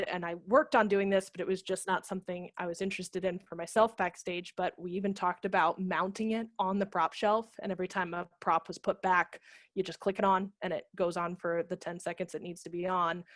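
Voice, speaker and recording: A woman's voice: fairly low pitch, no room sound. A woman in her thirties explaining informally as a guest speaker on a video call, through a headset microphone. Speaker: guest speaker, theatre managing director. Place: room at home.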